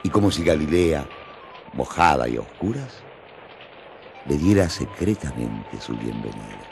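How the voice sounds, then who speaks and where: A man's voice: extremely low pitch, sing-song, unhurried, creaky. A man narrating a radio drama in a solemn, theatrical storytelling manner. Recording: music under the narration.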